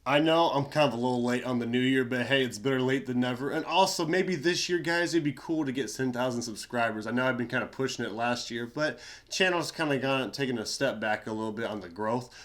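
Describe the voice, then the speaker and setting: A man's voice: medium pitch, a little fast, few pauses, a bit bright. A young man with a stuffy, nasal voice from a cold, sounding tired and chatting casually in a rambling, slangy way with lots of fillers. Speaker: YouTuber. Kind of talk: casual commentary on reddit stories.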